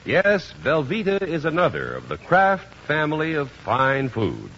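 A man's voice: on the high side, wildly swooping pitch, unhurried, a bit bright, slight background noise. A man announcing a 1950s radio show with a polished, emphatic sales delivery. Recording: dull 1950s radio recording with music.

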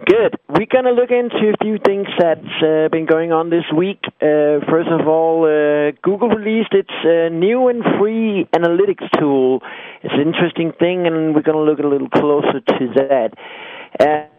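A man's voice: fairly high pitch, dry recording. A man hosts a casual radio show, stopping often with fillers. His voice comes through a narrow, phone-like line with no room sound. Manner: halting, many uh fillers. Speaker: podcast host.

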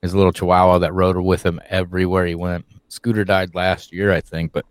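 Very deep-voiced easygoing man. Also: little pitch movement, a little fast, clean tone, no echo. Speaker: podcast guest. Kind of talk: casual chat.

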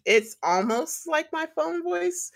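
A talkative woman co-hosting a podcast, with a slight rasp that comes and goes and a pitch that climbs when she gets excited. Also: slow pace. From partly the US Midwest and Atlanta. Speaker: podcast co-host and streamer. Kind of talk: casual podcast chat.

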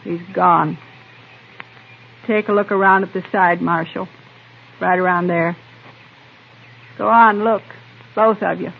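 An American woman playing a wary, bitter frontier homesteader in a radio western, curt and blunt, with a husky voice. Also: lowish voice, fairly even intonation, slow pace, long pauses, heavily acted. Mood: bitter, shaken. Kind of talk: old-time radio western acting. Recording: tinny old radio recording.

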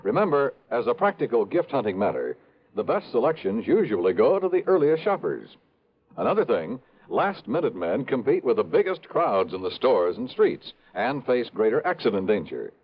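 A man's voice: mid-range voice, very animated, moderate pace. A man announcing on 1950s network radio in a scripted style. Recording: muffled old radio broadcast recording.

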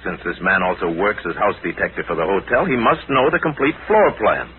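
An actor in a 1940s radio drama, playing a calm, shrewd crime-fighting hero. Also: male voice, low-pitched, brisk. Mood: calm, probing. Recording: tinny, hissy old radio recording.

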